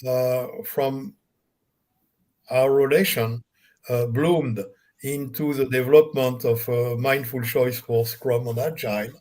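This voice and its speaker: A man from France hosting a live online panel, speaking slowly with many fillers. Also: mid-range voice, steady tone, darkish tone. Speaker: host. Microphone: video call.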